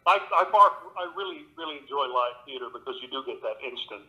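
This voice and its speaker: A man's voice: mid-range voice, animated, fast talker, bright, forceful tone, highs cut off. An older man, a guest actor, talking earnestly and thoughtfully in an interview, often restarting his sentences. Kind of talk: reflective interview talk.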